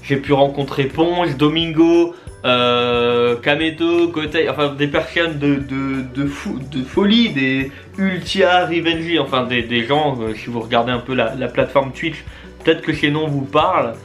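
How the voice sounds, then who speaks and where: A man's voice: on the high side, a little slow, soft background music. A man in his twenties chatting casually and eagerly to his viewers, with many fillers and restarts. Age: 20s. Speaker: YouTube and Twitch creator. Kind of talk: casual vlog address to viewers.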